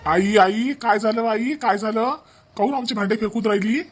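Man acting an exasperated village son, Vidarbha Marathi accent, high-pitched, insistent, comedy cartoon dialogue. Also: normal speed, faint music behind, lightly acted. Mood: pleading. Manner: repeats phrases insistently.

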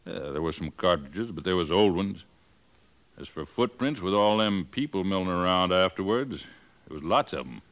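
An actor playing a weary middle-aged town sheriff who talks in a drawling, plainspoken way in a 1950s radio western. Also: male voice, low voice, animated, normal speed, a bit bright, muffled recording. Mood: plain. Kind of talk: 1950s radio drama acting.

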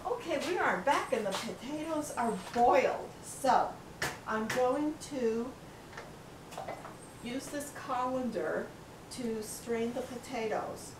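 A woman's voice: fairly high pitch, lively intonation, slow. An older woman chatting casually while cooking in her home kitchen, telling her helper what to do. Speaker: home cook showing a recipe. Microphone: camera across the room. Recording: echoey kitchen, camera far off, noisy.

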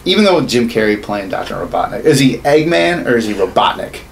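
A man's voice: lowish voice, big pitch swings, brisk, vocal fry. A man in his thirties co-hosting a casual podcast, sarcastic and profane as he rants about games and movies. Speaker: podcast co-host. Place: small home studio room. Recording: noisy room ambience.

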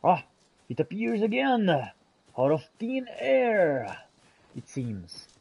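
Slow-talking husky man, theatrical role-player. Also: high voice, wildly swooping pitch, a little mellow, dry recording, dull audio. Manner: archaic, theatrical phrasing mixed with casual asides. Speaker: gameplay streamer role-playing. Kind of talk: in-character role-play commentary on a fantasy game.

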